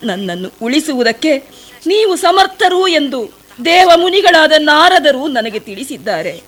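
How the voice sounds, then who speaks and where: A humble young forest woman pours out her grief to a sage, played by a woman. Her voice is high and her delivery slow and dramatic, full of tearful pleading. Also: expressive, bright, forceful tone, full character voice. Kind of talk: devotional radio play acting.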